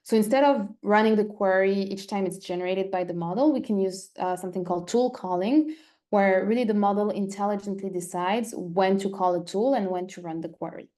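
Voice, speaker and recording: Casting: female webinar presenter, mellow relaxed tone, mid-range pitch, methodical step-by-step explainer. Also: brisk. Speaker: company presenter in a technical webinar.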